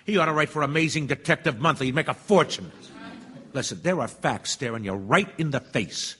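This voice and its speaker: An actor playing an impatient, sarcastic juror in an audio drama, incredulous and wisecracking. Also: male voice, fairly high pitch, expressive, brisk, bright, forceful tone, slightly rough, exaggerated voice. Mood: exasperated. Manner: exasperated, wisecracking. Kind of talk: stage-style audio drama acting.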